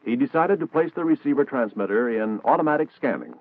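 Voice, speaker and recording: Male American narrator, formal and instructional, lively intonation, thin vintage band-limited soundtrack, 1960s military training film read. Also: medium pitch, quick pace, phone-quality sound.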